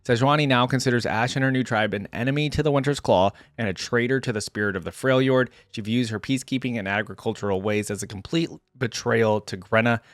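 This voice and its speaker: A man's voice: mid-range voice, fast talker, hardly any pauses, dry recording, clean studio sound. A man hosting a reaction video, reading lore aloud with casual, informal asides.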